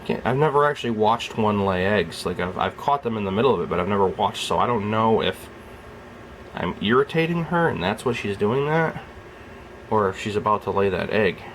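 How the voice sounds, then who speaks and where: Rambling young man with a very low voice. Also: expressive, a little fast, slight background noise, rough recording. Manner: rambling, soft-spoken, keeps his voice down. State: just woke up. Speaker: reptile keeper filming his snake. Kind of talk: rambling casual vlog commentary. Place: at home beside a reptile rack.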